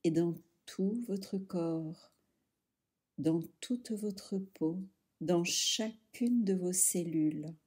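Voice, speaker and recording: Slow, mellow woman guiding relaxation. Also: fairly low pitch, slightly rough. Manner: soothing, hushed, instructing in short phrases with long pauses. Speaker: yoga nidra instructor. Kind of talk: guided relaxation meditation.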